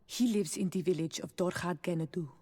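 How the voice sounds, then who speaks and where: An actress playing a proud, helpful island princess in a video game, speaking in a solemn and measured way. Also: female voice, fairly low pitch, dark tone, breathy edge. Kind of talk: dramatic video game acting. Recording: long hall-like reverb.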